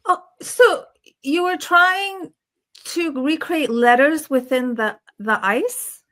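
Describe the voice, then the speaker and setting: Female interview host, fairly high pitch, conversational and inquisitive, recorded over an online call. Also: takes it slowly, a bit bright. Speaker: podcast host.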